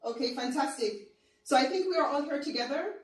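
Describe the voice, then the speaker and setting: A woman moderating a formal online panel, speaking in a halting, measured way. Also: high-pitched. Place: indoor room on an online call. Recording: very echoey, distant from the mic.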